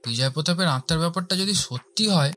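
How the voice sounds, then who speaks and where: A man's voice: fairly high pitch, animated, quick pace, sharp tone. A man voicing an anxious, questioning young male visitor, earnest and colloquial, in a horror cartoon. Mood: anxious, reproachful.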